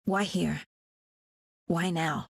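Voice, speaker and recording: A driven, analytical female investigator in a dramatic video game. Her voice is low and husky, with terse, measured phrasing, as if reasoning through a case. The recording is very dry, with no room around the voice. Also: steady tone, no room sound, exaggerated voice. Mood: focused, pensive. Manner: measured, terse, pensive deduction. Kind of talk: dramatic game dialogue, introspective investigation lines.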